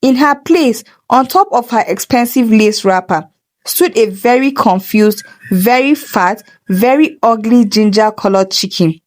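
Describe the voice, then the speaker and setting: A woman's voice: on the high side, expressive, unhurried. A woman with a Nigerian accent telling a folktale as a dramatic storyteller. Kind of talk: dramatic folktale narration with character voices.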